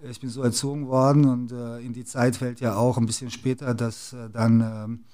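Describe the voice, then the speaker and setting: A man's voice: medium pitch, little pitch movement, normal speed, mellow. A middle-aged man from the former Yugoslavia, a guest in an interview, who talks with many fillers and restarts. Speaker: interview guest, basketball club executive. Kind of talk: casual interview talk.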